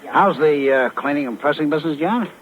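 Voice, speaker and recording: A man's voice: fairly high pitch, fairly quick, lightly acted. An actor playing a slick, wry crook in his thirties in a 1950s radio crime drama, talking tough and evasive. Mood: wry, guarded. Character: slick cocky small-time male crook, mid-thirties, flirting. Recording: muffled 1950s radio recording.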